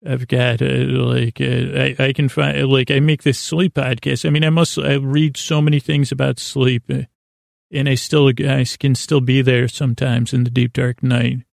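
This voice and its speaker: A man hosting a sleep podcast, rambling gently with many fillers and restarts. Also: mid-range voice, little pitch movement, normal speed, gravelly, dry recording, studio quality. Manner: soft and gentle. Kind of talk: rambling bedtime podcast intro.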